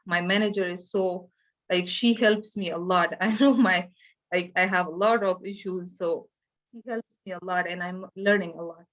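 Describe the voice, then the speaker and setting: A woman from Afghanistan with an Afghan accent, telling her own story as a panelist in halting, simply phrased English. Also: lowish voice, steady tone. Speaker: panelist sharing personal experience. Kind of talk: personal account on a virtual panel. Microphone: video call. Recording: dull, lo-fi online call audio.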